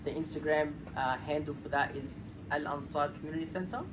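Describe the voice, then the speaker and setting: A man's voice: a little high, fairly even intonation, a little fast. A man hosting an evening talk, politely introducing and questioning his guest. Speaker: event host. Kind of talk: moderating a talk. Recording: distant mic with background noise.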